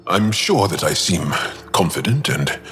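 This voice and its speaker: A man playing an earnest, self-doubting, gentle young alien warrior in a video game, speaking haltingly and deliberately. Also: low-pitched, very animated, soft background music, full character voice. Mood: vulnerable, confessing. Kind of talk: video game character acting.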